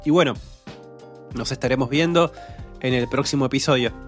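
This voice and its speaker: A young man from Patagonia with a neutral Rioplatense Argentine accent and a uvular R that sounds French. He hosts a podcast, reading listener comments in a relaxed, hesitant way full of fillers. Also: mid-range voice, faint music behind. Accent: neutral Rioplatense Argentine Spanish, uvular French-like R. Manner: relaxed and hesitant, many fillers, speaks slowly for learners. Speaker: podcast host and Spanish teacher.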